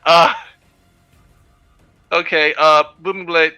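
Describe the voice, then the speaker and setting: Bright-voiced man chatting through a tabletop game. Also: a little high, level tone, slow pace, clean tone, faint music behind. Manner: chatty, hesitant with many fillers. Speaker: tabletop player. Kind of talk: casual tabletop game chat.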